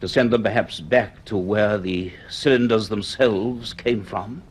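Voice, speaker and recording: An actor playing a grave, cultured middle-aged professor in a vintage radio drama, speaking in a measured, dramatic way. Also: male voice, lowish voice, breathy edge. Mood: wondering, alarmed. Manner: measured, deliberate, dramatic delivery. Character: grave, cultured male professor narrating his dreadful discovery.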